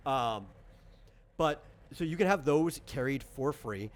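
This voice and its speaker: A man's voice: mid-range voice, animated, slow pace. A man explaining things in casual chat, in a rambling, hesitant way, as a game designer running character creation.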